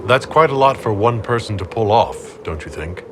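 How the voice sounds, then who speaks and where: Casting: male actor for plain-spoken game hero, low voice with expressive intonation, measured and sober. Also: natural voice. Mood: questioning. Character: sober, questioning heroic male leader of an army. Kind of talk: dramatic video game acting. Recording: faint background ambience noise.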